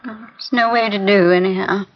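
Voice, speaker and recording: A woman's voice: medium pitch, fairly even intonation, moderate pace, slight background noise, lightly acted. An actress playing a fussy, worried older mother in a 1950s radio drama, fretful and chatty. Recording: muffled 1950s radio broadcast.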